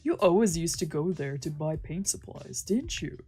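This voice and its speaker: A woman's voice: sits low, lively intonation, moderate pace, dark tone, husky, soft background music, full character voice. A woman voicing a chatty, teasing young man from a visual novel, with a bubbly, familiar, slightly grumbly manner. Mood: friendly, teasing. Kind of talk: acted visual novel dialogue.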